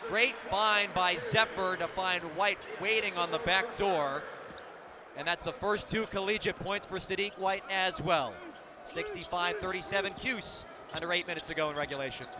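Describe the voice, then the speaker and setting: An American man doing live radio play-by-play of basketball, with clipped, descriptive calls. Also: high voice. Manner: clipped, descriptive calls that rise on big plays. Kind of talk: live sports commentary. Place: indoor basketball arena. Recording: clear arena noise, bouncing basketballs. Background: game noise and basketball bounces.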